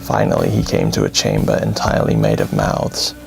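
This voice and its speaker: Extremely deep, gravelly male storyteller. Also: fairly even intonation. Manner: grave, dramatic, deliberate delivery. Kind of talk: scripted horror bedtime story narration. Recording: music under the voice.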